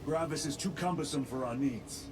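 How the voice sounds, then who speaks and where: A man playing a composed, dutiful space marine lieutenant in a video game, with measured, formal, clipped speech. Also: fairly high pitch, background noise, close to an everyday voice. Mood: composed, dry. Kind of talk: cinematic video game acting.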